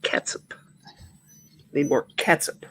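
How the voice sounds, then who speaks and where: A congested man with a high, nasal speaking voice hosting a casual stream chat and often agreeing with short yeahs. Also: sharp tone. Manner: agreeable, many quick yeahs. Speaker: stream host.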